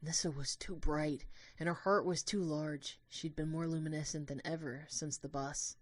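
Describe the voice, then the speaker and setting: Female narrator, very low pitch, scripted first-person storytelling, dry close recording, audio drama. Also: fairly even intonation, normal speed, no echo.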